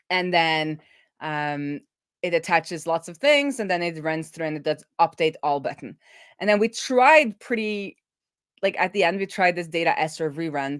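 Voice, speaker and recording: Lower-voiced woman thinking aloud, dry close sound. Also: smooth, no room sound. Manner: informal, thinking aloud, halting. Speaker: host of a web development live stream. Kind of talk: casual live coding commentary.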